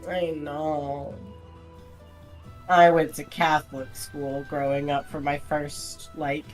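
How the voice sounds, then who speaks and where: A woman's voice: low-pitched, level tone, slow, poor audio. A woman chatting casually as a player in a tabletop game. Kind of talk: casual chat. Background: faint music.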